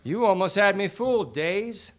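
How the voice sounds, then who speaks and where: A man's voice: high voice, expressive, moderate pace, heavy background noise. A man playing a confident, accusing 1940s detective in a theatrical, slangy radio play style. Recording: live radio play before studio audience.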